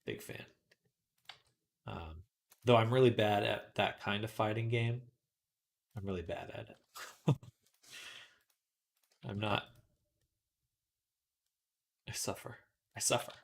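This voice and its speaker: A man's voice: on the low side, slow pace, creaky, long pauses. A man hosting a livestream in casual tutorial style, muttering to himself as he works and chatting with viewers. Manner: mutters to himself, then addresses viewers brightly. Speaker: livestream host, 3D artist. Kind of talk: casual livestream tutorial chat while working. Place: indoors at a computer desk.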